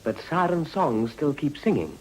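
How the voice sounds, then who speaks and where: An actor playing an urbane, evasive upper-class English politician with a dry manner in a period drama. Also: male voice, fairly high pitch, big pitch swings, moderate pace, rough recording. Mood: wry, evasive. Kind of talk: British period drama acting.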